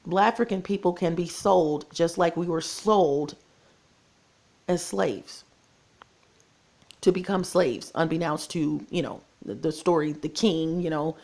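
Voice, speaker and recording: Gravelly-voiced woman vlogging. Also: on the low side, unhurried, a little mellow. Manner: earnest, repetitive, addresses viewers directly. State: losing her voice. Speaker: channel host. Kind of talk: casual vlog monologue.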